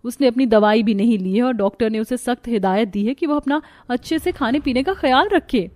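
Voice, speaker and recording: A woman's voice: a little high, brisk, a little mellow, no room sound, close to an everyday voice. A woman voicing a worried, dutiful young daughter-in-law in a cartoon story, speaking politely and pleadingly. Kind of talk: Hindi cartoon moral-story dialogue.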